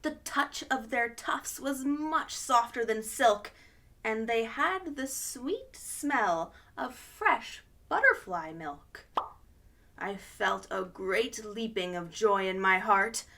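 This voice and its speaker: A woman's voice: on the high side, animated, slow, bright, forceful tone, slight background noise. A woman hosting a children's storytime, reading a picture book aloud expressively with gasps of wonder.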